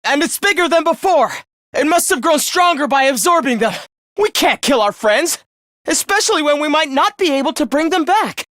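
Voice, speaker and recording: A man's voice: fairly high pitch, very animated, normal speed, no echo, studio quality. A man playing a young student in a video game, earnest on the surface and a cold, misanthropic killer beneath, theatrical and articulate. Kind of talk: dramatic anime-style video game voice acting.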